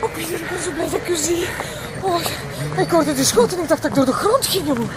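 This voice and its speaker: A woman's voice: very high voice, animated, quick pace, over a noisy crowd, very noisy. An actress playing an anxious, affectionate woman with a Flemish accent in an emotional audio drama. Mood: relieved, emotional.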